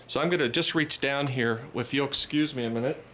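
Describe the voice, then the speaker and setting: A man giving an informal, methodical hands-on repair tutorial, speaking haltingly and repeating phrases as he goes. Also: mid-range voice, noisy background.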